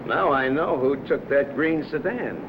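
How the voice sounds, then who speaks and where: An actor playing a stern older boss in a 1950 film noir, insistent and menacing. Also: male voice, on the high side, measured pace, lightly acted. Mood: coolly menacing. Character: stern, scheming older male boss, coolly extorting. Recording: muffled, noisy old film soundtrack.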